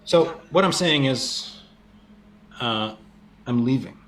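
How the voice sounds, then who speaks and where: A man playing a defensive, self-important boyfriend in an improvised comedy breakup scene, hesitant and deadpan. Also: mid-range voice, takes it slowly, a little hiss or hum. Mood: awkward, resigned.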